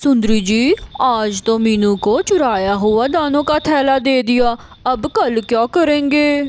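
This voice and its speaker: A woman's voice: on the high side, takes it slowly, darkish tone. A woman voicing an obedient, eager male crow husband in a cartoon, deferential to his wife. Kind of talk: Hindi cartoon character acting.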